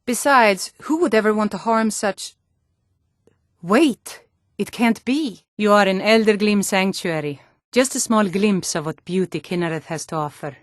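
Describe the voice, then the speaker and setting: A woman voicing proud, hardy Nord women in a fantasy game, with a firm, deliberate delivery. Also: medium pitch, dry recording, studio quality, natural voice. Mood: serene, reverent. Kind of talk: scripted fantasy game dialogue.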